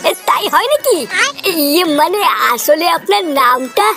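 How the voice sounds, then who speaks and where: Squeaky, randy cartoon grandfather, acted out. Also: sing-song, full character voice. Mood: flustered, flirty. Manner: stammering, halting, flirtatious. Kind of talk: exaggerated cartoon comedy acting. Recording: music under the voice.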